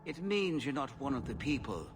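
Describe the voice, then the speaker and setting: A man's voice: mid-range voice, very animated, normal speed. A proud elven sentry in a fantasy game. He is curt and hostile, with swinging intonation and faint ambient noise behind him. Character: hostile, proud male elven camp guard. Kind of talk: video game voice acting.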